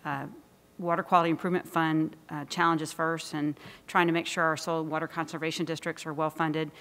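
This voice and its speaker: A woman in her forties answering a policy question at an auditorium podium, careful and measured. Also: low-pitched, little pitch movement, fairly bright tone, big-room sound. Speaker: state official answering. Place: large auditorium stage. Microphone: podium mic.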